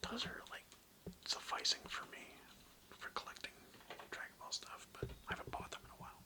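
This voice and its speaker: A young adult ASMR creator whispering breathily in a casual, slangy, rambling way with some swearing. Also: brisk. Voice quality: breathy, mostly unvoiced whisper. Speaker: ASMR video creator and host.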